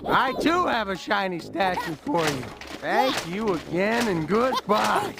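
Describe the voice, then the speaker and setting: Gravelly, slow-talking rebel chicken. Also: male voice, sits high, very animated, heavy background noise, heavily acted. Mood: grateful. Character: angry, foul-mouthed captive rebel chicken. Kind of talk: cartoon video game acting. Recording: loud music behind.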